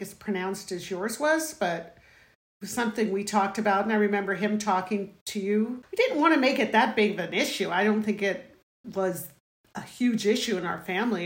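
Earnest middle-aged mother, echoey room. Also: medium pitch. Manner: earnest and proud, rambling. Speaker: interviewee, the host's mother.

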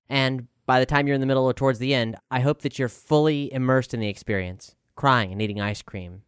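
A man reading a scripted music review as a narrator, with a clear, smooth voice over music. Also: medium pitch, no room sound, studio quality.

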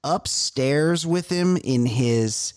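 A man's voice: a little high, very slow. A man from California co-hosting a comedy podcast, chatting casually and telling rambling stories full of fillers. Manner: rambling, animated storytelling with many fillers. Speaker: podcast co-host. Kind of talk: casual chat.

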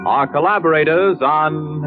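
A narrow, tinny old broadcast with music clearly underneath. A male network announcer with a slightly high voice reads scripted credits in 1940s radio style. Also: unhurried.

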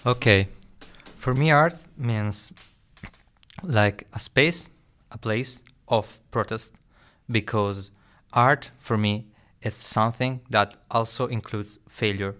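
A man's voice: on the low side, takes it slowly, fairly bright tone. A young man answering questions as a guest in a podcast interview. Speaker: art student, podcast guest.

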